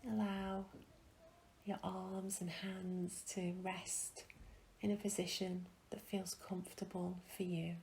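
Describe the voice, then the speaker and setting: A woman guiding a meditation in a soft, breathy, soothing way, leaving long pauses between phrases. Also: fairly low pitch, little pitch movement, a little hiss or hum. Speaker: meditation guide. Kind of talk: guided meditation.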